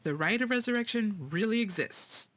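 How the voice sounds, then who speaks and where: A streamer voicing an excitable, cheeky young sidekick with a teasing, incredulous manner. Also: moderate pace, slight background noise, close to an everyday voice. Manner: incredulous, teasing, swooping up high. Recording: loud game music behind.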